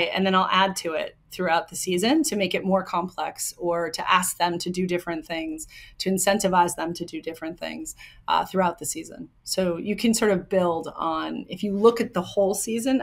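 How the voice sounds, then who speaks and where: A young woman hockey coach with a soft voice, answering interview questions in an earnest, explanatory way with many ums and likes. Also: lowish voice, fairly even intonation, normal speed.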